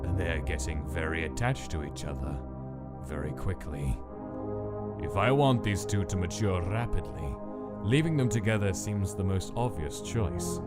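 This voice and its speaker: A man voicing a detached male scientist who narrates his observations in a clinical, measured way. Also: deep voice, lively intonation, loud music behind the voice. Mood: calculating. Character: cold calculating male scientist studying his subjects. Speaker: narrator of a comic dub. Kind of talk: inner-monologue narration.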